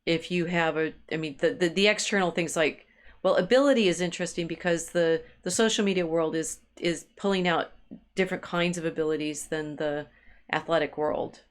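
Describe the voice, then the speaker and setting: A woman with a slightly low voice talks through ideas as a co-host of a discussion. Her delivery is halting, circling back over the same words while she hunts for the right phrase. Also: fairly even intonation. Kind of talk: intellectual discussion, thinking aloud.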